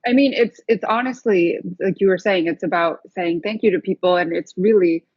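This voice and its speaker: A woman's voice: on the low side, normal speed, a little mellow, breathy edge, no echo, highs cut off. A woman in her thirties, a guest on a talk show, who speaks thoughtfully and searches for words. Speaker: guest chef. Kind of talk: casual interview chat.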